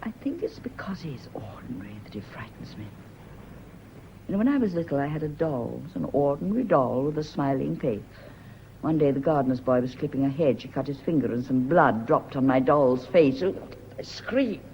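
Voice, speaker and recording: A woman's voice: extremely low pitch, lively intonation, brisk, mellow. An actress playing an anxious, guilt-haunted woman with a British accent, nervous and brooding in a radio drama. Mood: brooding, fearful. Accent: British English. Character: anxious, guilt-haunted woman, former live-in servant or companion. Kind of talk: British stage-style radio drama acting. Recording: dull, hissy old radio recording.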